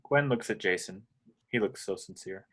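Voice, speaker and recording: A man with a fairly deep voice serves as narrator. He reads out stage directions and action lines from a film script. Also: moderate pace, fairly bright tone.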